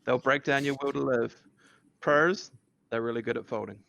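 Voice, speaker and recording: A man reading the part of a rambling young man wary of sharing a flat with a woman, with a gravelly voice at a casual table read. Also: mid-range voice, normal speed, lo-fi sound. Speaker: podcast host reading a part.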